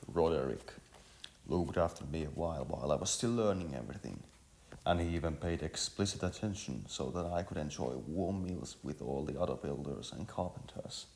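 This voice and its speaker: A man playing an earnest young village workman in a storybook, formal, deliberate and halting. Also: low-pitched, creaky, darkish tone, a little hiss or hum.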